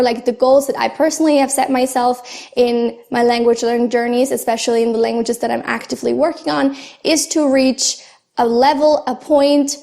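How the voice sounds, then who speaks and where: A young woman shares her language-learning advice to camera. Her voice sits high, and she talks in an earnest, chatty way with easy fillers and small laughs. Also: a little mellow. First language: German. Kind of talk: casual talk to camera.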